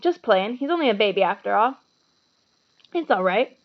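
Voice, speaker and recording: A woman voicing a soft-hearted, doting man in a storybook, emotional and halting in delivery. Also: medium pitch, lively intonation, lo-fi sound, close to an everyday voice. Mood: pained, doting. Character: soft-hearted, tearful man doting on his pet dragon.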